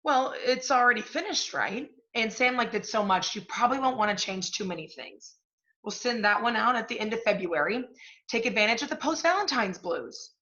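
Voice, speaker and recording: A woman's voice: a little high, lively intonation, moderate pace, sharp tone, muffled recording. A woman playing a brash, scheming, profane literary agency boss in a stage play. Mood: triumphant, scheming. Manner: pushy, profane, salesy. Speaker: stage actor. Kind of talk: theatrical one-act stage play acting.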